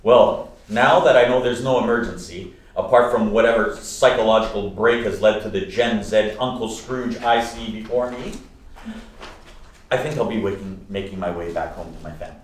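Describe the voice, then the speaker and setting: An actor playing a warm-hearted, exasperated nephew in a radio play, speaking in an earnest, wry, articulate way. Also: male voice, lowish voice, normal speed, lightly acted. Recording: echoey room, distant mic.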